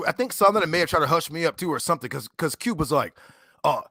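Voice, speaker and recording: A man's voice: on the high side, lively intonation, fast, sharp tone, no echo, clean studio sound. A man from Cleveland retelling a debate, indignant and stammering with many fillers. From Cleveland, USA. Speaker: debater and guest. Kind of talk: casual chat retelling a debate.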